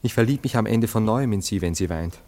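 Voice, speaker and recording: An actor in a German radio play, playing a self-dramatizing, indecisive lover, effusive and hesitant. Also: male voice, lowish voice, fairly quick, dark tone, lightly acted. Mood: earnest, hesitant. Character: self-dramatizing, sentimental, indecisive male lover, a Viennese dandy.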